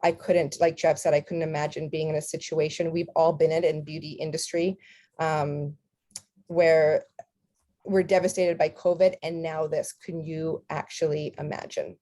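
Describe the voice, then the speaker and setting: Canadian-raised woman, Ukrainian first language, earnest founder pitch, warm low tone. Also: level tone, moderate pace. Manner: heartfelt, earnest, fillers. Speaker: skincare company founder. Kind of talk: personal talk at an online orientation.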